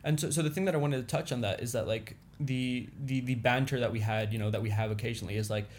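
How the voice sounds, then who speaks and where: A young man whose first language is Indonesian talks fast and loosely as an interview guest, stringing thoughts together with constant fillers. His voice sits at an ordinary pitch for a man. A faint hum of room ambience stays in the background. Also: fairly even intonation. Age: young adult. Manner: rambling, heavy on fillers like "you know" and "like". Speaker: guest, business owner. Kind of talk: casual podcast interview.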